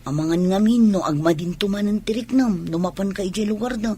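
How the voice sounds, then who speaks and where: A woman's voice: medium pitch, fairly quick, mellow, a little hiss or hum, lightly acted. A woman with an Ilocano accent playing a hopeful, devoted grown child in a radio drama. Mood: earnest.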